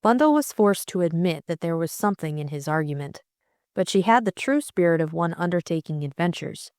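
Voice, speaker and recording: A woman's voice: fairly low pitch, lively intonation, brisk, darkish tone, smooth, no echo, studio quality. A woman narrating a classic mystery novel as a scripted audiobook reading.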